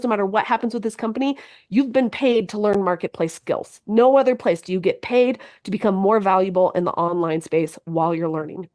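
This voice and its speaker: A woman's voice: fairly high pitch, a little mellow. Upbeat woman coaching a webinar. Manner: upbeat, coaching, addresses her audience. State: head cold. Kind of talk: motivational webinar talk.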